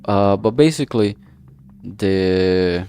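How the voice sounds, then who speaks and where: A man with a lowish voice and a strong creak talks off the cuff to his stream. He rambles and stumbles as he hunts for words, dropping casual swearing along the way, over a streaming mic. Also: dark tone. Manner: rambling, halting, often losing his thread. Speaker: streamer and amateur game writer. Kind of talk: casual livestream chat. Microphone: filtered streaming microphone. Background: background music.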